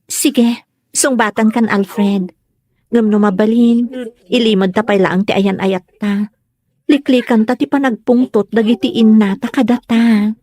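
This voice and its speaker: A woman's voice: fairly high pitch, steady tone, a little slow, darkish tone, natural voice. A woman acting a sweet young woman in secret love in an Ilocano comedy drama. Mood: tender, earnest.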